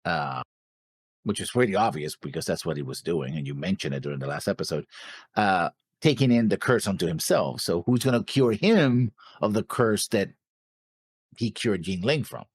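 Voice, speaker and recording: A deep-voiced man with a husky edge thinks aloud in a casual, rambling co-host chat. His pitch moves around in a very lively way, and the sound is close and dry. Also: moderate pace, no room sound. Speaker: co-host of an anime reaction show.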